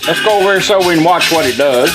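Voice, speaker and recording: A man's voice: fairly high pitch, lively intonation, fast talker, over loud music, rough recording. A man giving a casual, plain-spoken hands-on product demonstration in an informal way. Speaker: car radio restorer demonstrating product.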